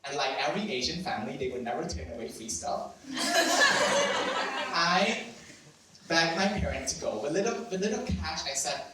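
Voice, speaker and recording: Young adult man, Cambodian accent, Khmer native, brightish higher voice, live storytelling, self-mocking and cheeky, faint hum behind. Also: expressive, moderate pace, pauses often. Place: event venue with audience. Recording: very echoey room, mic at a distance.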